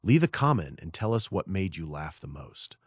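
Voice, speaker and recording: Guy narrating a scripted channel outro, deep voice, lots of up and down, dry close sound. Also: very animated, moderate pace, breathy edge, no room sound. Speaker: channel host.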